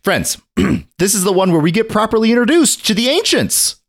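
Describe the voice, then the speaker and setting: Animated man with a head cold. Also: high-pitched, normal speed, gravelly. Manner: animated, jokey, drifts into bits and riffs. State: head cold, slightly gravelly. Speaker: podcast co-host. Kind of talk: casual chat.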